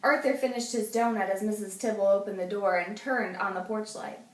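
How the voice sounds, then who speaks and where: A woman teacher reading a children's picture book aloud, telling it expressively and hushing for the spooky parts. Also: medium pitch, fairly even intonation, a little fast, noisy background. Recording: roomy, distant mic.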